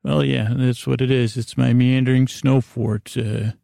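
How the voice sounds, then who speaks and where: Man, sleep podcast host, heavy vocal fry, gentle reassurance, halting digressive monologue, very dry room sound. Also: mid-range voice, little pitch movement, no room sound. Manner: halting, digressive, full of trailing asides and repeats. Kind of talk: rambling, meandering bedtime monologue.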